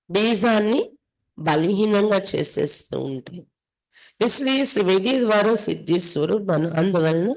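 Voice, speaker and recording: A woman's voice: medium pitch, poor audio. A woman from India, a spiritual teacher reading and explaining devotional text in an instructive way, repeating for emphasis. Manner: instructive, with emphatic repetition and rhetorical questions.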